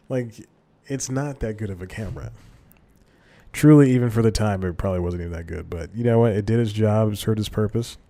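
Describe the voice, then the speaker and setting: Young male podcast host with a lowish voice, rambling and self-correcting, casual solo talk. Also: warm tone. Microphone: desk microphone.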